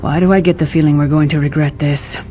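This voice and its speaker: A woman's voice: low-pitched, steady tone, fast, dark tone, natural voice. An actress playing a weary, brave female warrior in a fantasy game, earnest and plain-spoken. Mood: wary.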